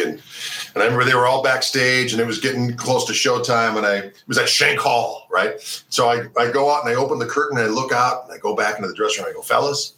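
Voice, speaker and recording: Bright-voiced middle-aged musician, echoey room. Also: male voice, lowish voice. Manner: storytelling, halting restarts. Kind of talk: casual chat.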